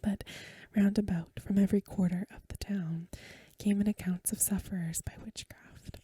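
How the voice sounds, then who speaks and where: Woman, ASMR reader, unvoiced breathy whisper, hushed measured delivery, soft mellow tone, dry close recording. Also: mid-range voice, steady tone, no echo. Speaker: ASMR host reading a story aloud. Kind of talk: whispered ASMR bedtime story reading.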